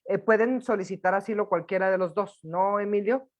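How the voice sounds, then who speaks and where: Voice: mid-range voice, fairly quick. A host, chatting and reading out viewer questions on a live show.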